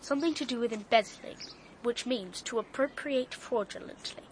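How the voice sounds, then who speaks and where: Radio drama: precocious, smug young girl played by a woman, high-pitched, childlike bluntness, slight background noise. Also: moderate pace, close to an everyday voice, low-quality recording. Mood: smug, secretive. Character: precocious, secretive young girl playing detective.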